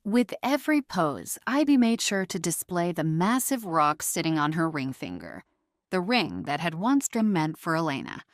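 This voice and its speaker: Woman narrator telling a dramatic story, low voice, really lively delivery, dry studio sound. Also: normal speed, dry recording.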